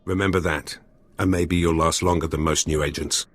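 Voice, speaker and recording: A gruff middle-aged spy fixer with a very deep, rough husky voice. He talks in blunt, terse sentences, a hard-liner in a video game. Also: fairly even intonation, normal speed. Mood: gruff, stern. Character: gruff hard-line veteran male spy turned fixer.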